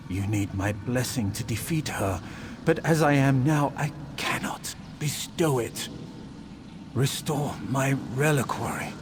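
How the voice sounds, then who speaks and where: An actor playing the fading spirit of an ancient saint in a video game, grave, solemn and noble. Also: male voice, medium pitch.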